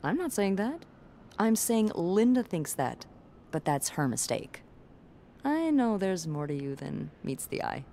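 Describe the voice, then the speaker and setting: Sassy hotel front-desk gossip for a narrative game: low female voice, wry and blunt, faint noise behind. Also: big pitch swings, measured pace, creaky, mellow, heavily acted. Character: sassy, gossipy young woman hotel receptionist. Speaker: video game character. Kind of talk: natural conversational game acting.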